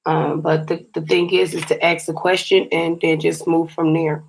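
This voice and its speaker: Low, flat-voiced woman with a raspy edge. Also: normal speed, slight background noise, rough recording. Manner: chatty and encouraging, often says "you guys". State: slightly scratchy, raspy voice. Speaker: tarot card reader. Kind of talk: casual tarot reading for viewers.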